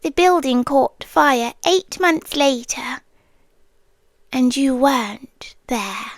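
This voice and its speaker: A devoted, possessive angel, played by a British woman, murmurs in a high, breathy voice that keeps sinking into whispers. She takes her time, lingering tenderly over each word with a quiet obsessive edge. The sound is close and completely dry. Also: slow, dry recording. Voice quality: breathy, intimate, drifting into whispers. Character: possessive, devoted female guardian angel, ageless. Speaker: ASMR roleplay performer. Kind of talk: soft-spoken ASMR roleplay. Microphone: close mic, dry sound.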